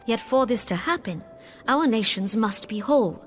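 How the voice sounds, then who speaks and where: An actress playing a solemn, wise female leader in a fantasy game, speaking in a measured, stately way. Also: fairly high pitch, animated, faint music behind, natural voice. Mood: concerned, grave. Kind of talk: formal fantasy game voice acting.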